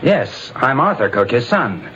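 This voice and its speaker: A man's voice: mid-range voice, big pitch swings, unhurried, a bit bright, lo-fi sound. An actor playing a nervous, evasive young man who stammers in agitation, in a 1940s radio drama. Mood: agitated. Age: young adult. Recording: hissy old radio broadcast.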